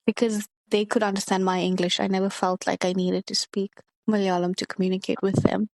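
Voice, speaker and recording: A young woman from South Africa co-hosting a casual podcast chat, soft-spoken and a little self-conscious. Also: mid-range voice, level tone, fairly quick, no room sound. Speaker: podcast co-host. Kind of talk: casual conversation.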